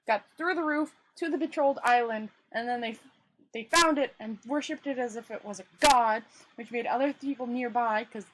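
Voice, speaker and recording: A woman with a high-pitched, husky voice reads a story aloud. Her delivery is informal and homemade, with stumbles, self-corrections and chatty asides. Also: poor audio. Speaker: storyteller reading a novel aloud. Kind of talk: amateur read-aloud with casual asides.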